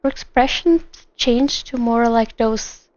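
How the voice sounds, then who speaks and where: A woman chats casually through a game stream. Her voice is high and animated, and she rambles as she comments. The audio is a little dull. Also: slow pace, dry recording. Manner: animated, rambling, acts out imagined lines. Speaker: streamer, gamer.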